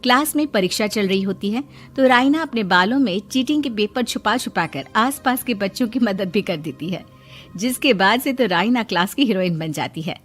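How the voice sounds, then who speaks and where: A woman's voice: medium pitch, expressive, normal speed, faint music behind. A woman narrating a scripted cartoon moral story as its storyteller.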